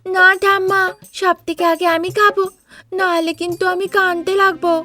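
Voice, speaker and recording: A woman's voice: very high voice, flat delivery, moderate pace, bright, forceful tone, faint music behind, pitched above the performer's own voice. A woman voicing a whiny, stubborn small granddaughter in a cartoon, childish and pleading. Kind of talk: cartoon dialogue.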